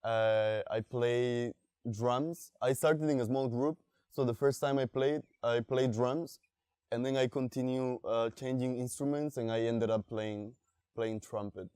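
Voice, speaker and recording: Male, smooth and dry-sounding, unhurried interviewee speaking in short halting phrases. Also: mid-range voice, fairly even intonation, a little mellow, no echo. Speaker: musician interviewee. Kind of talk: documentary interview recollection.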